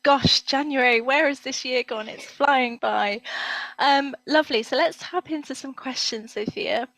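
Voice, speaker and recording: A woman's voice: sits high, a little slow, fairly bright tone, no echo, rough recording. A woman hosting an interview over a video call, upbeat and encouraging, often praising the guest's answers. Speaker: interview host. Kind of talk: hosted interview.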